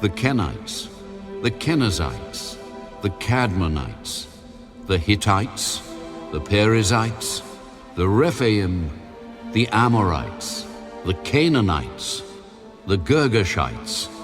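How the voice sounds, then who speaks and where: Solemn male God for scripture drama; deep, unhurried, authoritative, over soft music. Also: big pitch swings, full character voice. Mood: solemn, commanding. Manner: solemn, commanding, measured. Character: Almighty God, solemn male deity making a covenant.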